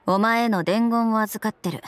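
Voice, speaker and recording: A woman's voice: fairly high pitch, steady tone, moderate pace, clear, resonant tone, close to an everyday voice. A woman playing a stern, dutiful young police captain in Japanese game acting, speaking formally, curtly and with authority. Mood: calm, friendly. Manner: formal, curt, authoritative, masculine wording. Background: background music.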